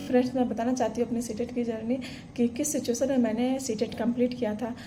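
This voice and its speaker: A woman, talking earnestly and encouragingly to camera. Her voice is mellow and fairly high, yet it stays flat and even. A faint room hum sits behind her. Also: quick pace. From Bihar, India. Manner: earnest, encouraging, many fillers and restarts. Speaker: vlogger. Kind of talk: casual personal story to camera.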